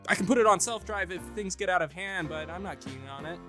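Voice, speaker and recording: A man's voice: high voice, quick pace, sharp tone, soft background music. A man playing a smug, self-important rich young man on the prowl in a theatrical first-person story reading.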